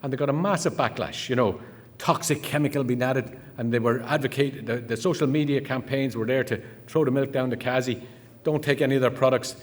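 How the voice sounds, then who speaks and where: A man's voice: medium pitch, fairly quick. An Irish man giving a chatty conference talk, full of fillers, restarts and anecdotes. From Ireland. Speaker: conference speaker. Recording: long hall reverb.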